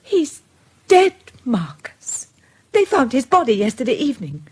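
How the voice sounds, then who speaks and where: An actress playing a well-spoken, agitated English lady with a husky voice, earnest and gossipy, in a British radio drama. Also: sits high, huge pitch swings, unhurried, a little mellow, exaggerated voice. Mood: agitated, grave.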